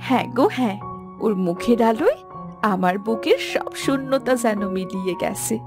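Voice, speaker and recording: A woman's voice: fairly high pitch, expressive, moderate pace, music in the background. A woman voicing a poor fisherman's devoted wife and mother in a fairy-tale cartoon, emotional and theatrical. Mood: tender joy.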